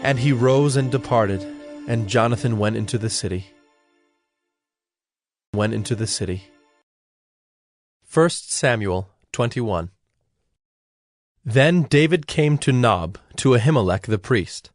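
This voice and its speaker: A man's voice: medium pitch, takes it slowly, pauses often, no echo, studio quality, soft background music. A man narrating a dramatized Bible story from a script.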